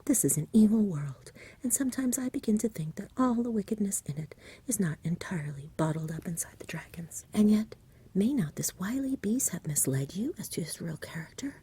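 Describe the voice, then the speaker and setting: A grave, good-natured saintly knight, whispered by a woman, speaking in formal storybook phrases. The voice is soft, very husky and mellow. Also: medium pitch, voice pushed up. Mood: sad, suspicious. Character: courteous, melancholy saintly knight with grandiose speech. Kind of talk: whispered ASMR storybook reading.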